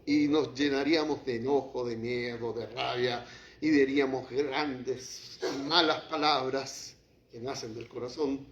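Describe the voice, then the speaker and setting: A man preaching a sermon as pastor, shifting from quiet, low passages to raised, heated lines. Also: fairly even intonation, a little slow, a little hiss or hum. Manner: impassioned preaching, drops to quiet, then rises to acted outbursts. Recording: echoey hall, muffled lo-fi sound.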